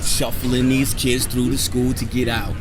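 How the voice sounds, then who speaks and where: Laid-back middle-aged guy, emphatic, loud crowd all around. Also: mid-range voice, flat delivery, a little slow. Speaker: professor of hip hop, guest. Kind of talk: casual debate. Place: outdoors on a city street. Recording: loud outdoor crowd noise.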